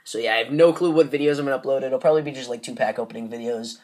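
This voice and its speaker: A man's voice: medium pitch, racing, darkish tone. A teenage boy hosting a gaming channel, sick and stuffed up with a sore throat, rambling casually with lots of fillers while opening card packs. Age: teens. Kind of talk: casual pack-opening commentary.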